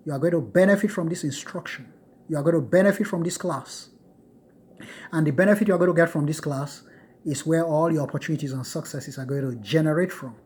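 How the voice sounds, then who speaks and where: A man's voice: on the high side, a little mellow, husky. A middle-aged man from Nigeria giving an emphatic, repetitive motivational lecture to his students.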